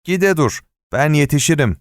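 A man voicing a friendly but bossy coal seller in an audiobook story, brisk and familiar. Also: on the high side, expressive, dry recording, clean studio sound, pitched above the performer's own voice, close to an everyday voice. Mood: dismissive, brisk. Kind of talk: audiobook character dialogue.

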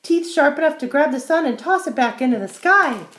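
Casting: a clever storybook fox, high, excitable, urgent and rapid. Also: female voice. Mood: eager, boastful. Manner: quick, urgent yipping. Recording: roomy, echoing space.

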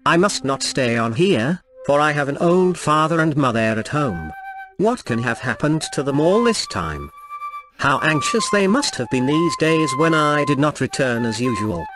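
An earnest, dutiful young fisherman speaks with formal politeness and quiet anxiety, as a male narrator puts him on. The voice is fairly high for a man and moves up and down a lot. Faint music plays underneath. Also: very animated, normal speed. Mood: anxious. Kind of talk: storybook reading.

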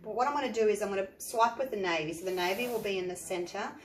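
An Australian woman, an art teacher, chatting step by step through a painting tutorial with a croaky voice from the flu. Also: medium pitch, quick pace. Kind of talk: tutorial narration. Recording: echoey room, faint noise.

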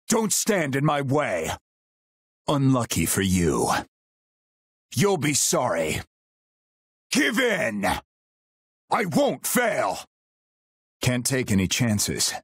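A man voicing a stoic, loyal royal guardian in a video game, husky, solemn, terse and formal. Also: mid-range voice, a bit bright, dry recording, studio quality, full character voice. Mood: resolute. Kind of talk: video game battle voice lines.

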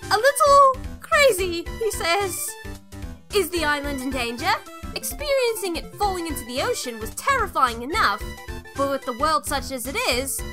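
Cartoon pika archaeologist, female: very high, animated, fussy, prim babbler, music underneath. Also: normal speed. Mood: dry, wry. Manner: breathless babbling, prim theatrical phrasing. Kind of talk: cartoon fan dub acting. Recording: music behind the voice.

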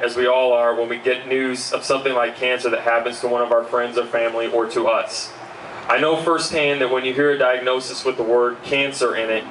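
A young man, giving an earnest keynote speech from notes at an outdoor benefit, speaking into a handheld mic. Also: medium pitch. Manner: earnest, steady, fillers between points. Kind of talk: reading a prepared speech. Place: outdoor backyard party. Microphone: handheld mic, recorded by far camera. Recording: distant roomy outdoor sound, faint hum.

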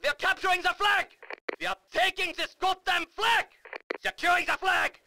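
An actor playing a fierce, fanatical German army officer who barks commanding battle announcements in a German accent for a game. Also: male voice, very high voice, normal speed, sharp tone, raised voice, a little hiss or hum, exaggerated voice. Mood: triumphant, commanding. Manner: barking, emphatic, commanding. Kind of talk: shouted military game announcements.

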